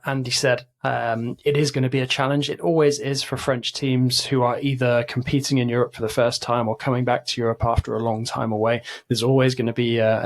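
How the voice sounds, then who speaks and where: A man speaking as a football pundit on a podcast panel, giving long, analytical answers. His voice sits in a middle register, neither high nor low.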